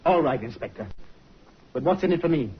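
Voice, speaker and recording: A surly, cocky small-time crook. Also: male voice, on the high side, animated, fairly quick, slightly rough. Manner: curt, sullen, cocky retorts. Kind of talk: 1950s radio acting. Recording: dull vintage radio broadcast.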